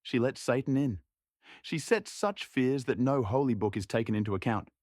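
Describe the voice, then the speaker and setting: A man's voice: medium pitch, moderate pace, darkish tone, no echo, studio quality, voice pushed up, close to an everyday voice. A man playing a frightened, stubborn young seminary student in a story, pleading and protesting. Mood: fearful.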